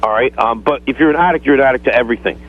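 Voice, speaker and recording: A man's voice: medium pitch, very fast. Man on a tinny phone line. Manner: evasive, rambling, repeats himself. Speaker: phone-in guest. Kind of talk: casual explaining on a radio show. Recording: thin, band-limited phone line.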